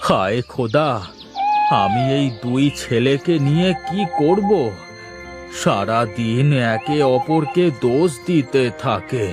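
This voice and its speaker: A man's voice: mid-range voice, lively intonation, slow pace, over loud music. A man voicing an ageing, worried but fair-minded village father in a cartoon. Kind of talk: Bengali cartoon moral tale dialogue.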